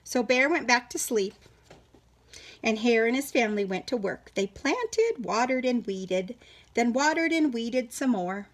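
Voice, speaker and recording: A woman reading a picture book aloud to children in an animated, teacherly way, pausing to question and explain to her listeners. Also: on the high side.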